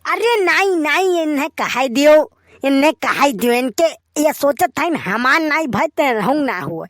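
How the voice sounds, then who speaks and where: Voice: high-pitched, expressive, unhurried, a bit bright, dry recording, clean studio sound. A performer voicing an opinionated, grumbling young village bachelor in a comedy cartoon, with an Awadhi accent and a complaining, lecturing delivery. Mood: annoyed.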